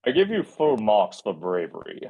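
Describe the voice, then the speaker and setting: A woman voicing an imperious villain prince who demands surrender in measured lines at a table read. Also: rumbling low, sing-song, dark tone, muffled recording, exaggerated voice. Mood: haughty, stern.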